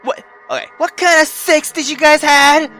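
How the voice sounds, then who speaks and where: A male gaming YouTuber reading a visual novel aloud and reacting in a theatrical, excitable way. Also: extremely high pitch, normal speed. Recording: music behind the voice. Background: background music.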